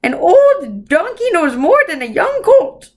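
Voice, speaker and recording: A woman voicing a wise, ancient otherworldly lady from a storybook who slowly declaims proverbs. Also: very high-pitched, very animated, voice pushed up, full character voice. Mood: sententious. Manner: slow, declaiming proverbs in foreign languages.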